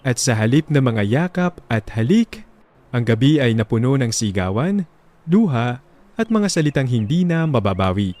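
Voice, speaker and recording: A Filipino man tells a story as a radio drama narrator. His delivery is solemn and sentimental, and his pitch moves expressively through each dramatic line. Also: very animated, normal speed, darkish tone.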